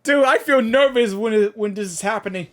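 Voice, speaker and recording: A man reacting live to a video game, excitable and chatty, saying "dude" often. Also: high-pitched, a little fast. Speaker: gaming reaction youtuber.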